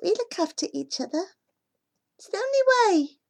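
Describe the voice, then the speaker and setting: A woman voicing a small, frightened girl who speaks softly, close to tears. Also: very high-pitched, very animated, normal speed, vocal fry, pitched above the performer's own voice, exaggerated voice. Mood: sad. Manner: soft, near tears.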